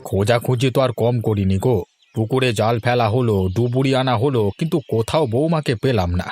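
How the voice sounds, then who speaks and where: Casting: man as older village patriarch, mid-pitched, measured and tight-fisted, cartoon drama with soft music behind. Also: natural voice. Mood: consoling, resigned. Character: older village husband, calm, practical, miserly father-in-law. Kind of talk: Bengali animated fairy-tale dubbing.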